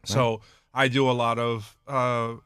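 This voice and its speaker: A man with a loud, booming voice, a real estate agent guest talking casually on a radio show in a studio. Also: little pitch movement, slow pace, smooth, dry recording.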